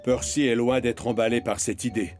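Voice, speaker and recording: A man's voice: medium pitch, fairly even intonation, moderate pace, darkish tone. A man reading scripted storytelling narration for a children's cartoon. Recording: music behind narration.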